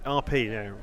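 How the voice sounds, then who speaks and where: A man's voice: mid-range voice, a bit bright. A man hosting a podcast in rambling, bantering casual chat. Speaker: podcast host.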